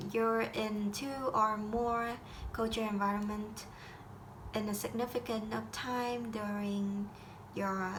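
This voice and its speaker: A teenage girl from Vietnam with a Vietnamese accent, speaking English as a second language in a halting school assignment talk full of restarts. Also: a little high, a bit bright, slight background noise. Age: teens. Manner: halting, with frequent restarts and grammar slips. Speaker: high school student.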